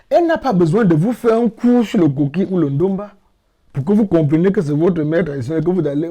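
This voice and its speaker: A middle-aged man from Cameroon answering interview questions in a measured, explanatory way with emphatic repetitions. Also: fairly high pitch, warm tone. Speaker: interviewed guest, language teacher.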